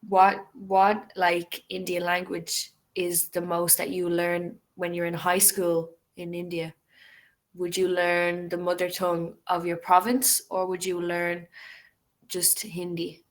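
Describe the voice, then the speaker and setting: A woman's voice: lowish voice, completely flat delivery, takes it slowly. A woman tutoring English over a video call, asking short questions and prompting her student. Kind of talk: casual conversation practice.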